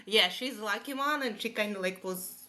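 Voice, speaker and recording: A woman's voice: medium pitch, moderate pace, sharp tone. A woman from Russia with a Russian accent, chatting as a podcast guest in non-native English phrasing. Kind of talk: casual conversational interview.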